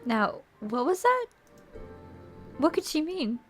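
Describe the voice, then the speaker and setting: A woman streamer reading a visual novel aloud as theatrical storybook narration, expressive and playful. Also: sits high, takes it slowly. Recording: music behind the voice.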